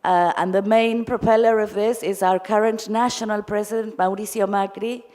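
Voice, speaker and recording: In a reverberant hall, a woman from Argentina puts a question at a conference. She is articulate and discursive, with a mid-pitched voice. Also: steady tone, moderate pace, darkish tone. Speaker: audience member putting a question to a panel. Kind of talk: spontaneous question and comment at a conference. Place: large indoor conference hall. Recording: echoey hall, long reverb.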